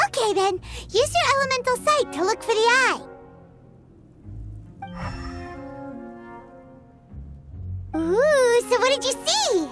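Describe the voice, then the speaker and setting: A woman playing a tiny, excitable childlike fairy companion in an anime video game, with a squeaky, bubbly, exaggerated delivery. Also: heavily acted. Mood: eager, puzzled. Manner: exaggerated, bubbly, refers to herself by name. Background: music.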